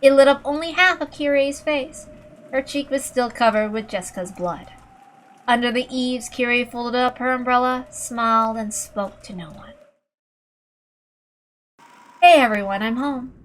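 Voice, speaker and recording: A woman's voice: on the high side, normal speed, fairly bright tone, slight background noise, rough recording. Woman narrating a story dramatically. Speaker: amateur reader and narrator of a visual novel.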